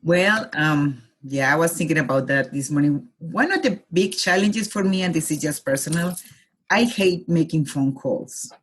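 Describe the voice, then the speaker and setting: A Colombian-born woman in her forties, a guest with a husky voice, talking reflectively in a casual interview and laughing easily. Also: deep voice, expressive, moderate pace.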